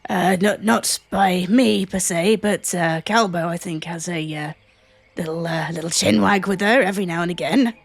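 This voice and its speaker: A woman's voice: on the low side, normal speed, creaky, no room sound. A woman answering as a player, hesitant and rambling.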